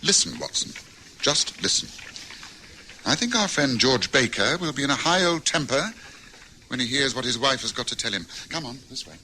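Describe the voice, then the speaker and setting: A calm English detective, measured and grave, bright voice, faint old radio hiss. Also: male voice, medium pitch, poor audio. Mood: urgent. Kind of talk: radio drama acting.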